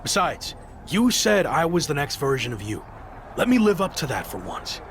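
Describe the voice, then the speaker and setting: A man's voice: on the high side, very animated, normal speed, a bit bright, a little hiss or hum. A man playing a proud, headstrong young champion wrestler, insistent and defiant, in a video game cutscene. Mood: determined.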